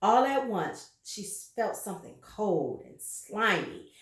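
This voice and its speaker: A woman reading a children's story aloud with deliberate, dramatic emphasis and brief asides to her listeners. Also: medium pitch, sing-song, echoey room.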